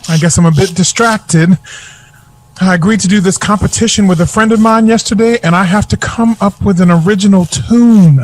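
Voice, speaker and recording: An actor playing a sassy, insecure young aspiring jazz singer in a modern-day audio drama. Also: male voice, high voice, normal speed. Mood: distracted, unsure.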